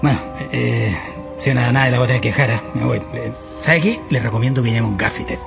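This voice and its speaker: A Chilean man playing a folksy, evasive caretaker in a radio drama, with colloquial, halting, repetitive speech. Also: mid-range voice, gravelly, lightly acted. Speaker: actor. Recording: music behind the voice.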